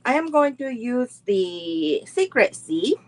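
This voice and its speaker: Woman, middle-aged, card-making instructor, very slow unhurried delivery, chatty live-class teaching. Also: a little high, big pitch swings, noisy background. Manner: slow, unhurried, greets viewers and chats between steps. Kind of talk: live online craft class.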